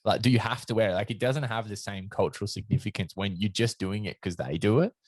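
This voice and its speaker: A man's voice: on the low side, quick pace, no echo. An Australian man hosting a podcast, chatting casually and thoughtfully with many ums and uhs. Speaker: podcast host.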